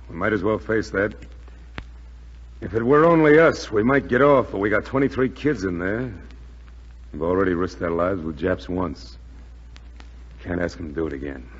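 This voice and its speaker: Low-voiced commanding wartime airman. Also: moderate pace, long pauses, breathy edge. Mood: grim, resolute. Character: weary, steady male commander of stranded wartime aircrew. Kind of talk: 1940s radio drama acting. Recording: muffled, noisy, reverberant 1940s radio recording.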